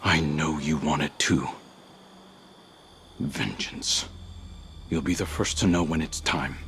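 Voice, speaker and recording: An actor playing a grim, vengeful veteran soldier in a science fiction game cutscene. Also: male voice, extremely low pitch, slow pace, a bit bright, slight background noise, heavily acted. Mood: bitter, grim. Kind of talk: cinematic video game acting.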